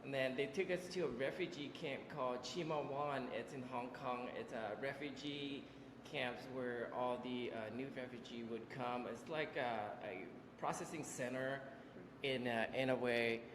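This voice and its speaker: A man in his forties from Vietnam, telling his life story as an interview guest, halting with many fillers and restarts. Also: mid-range voice, noisy background. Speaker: interview guest, business owner. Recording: echoey room with long reverb.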